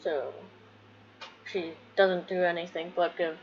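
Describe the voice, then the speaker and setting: A woman's voice: lowish voice, fairly even intonation, slow, dull audio, slight background noise. A young woman giving casual, rambling, giggly commentary while playing a video game. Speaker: gamer streaming a playthrough.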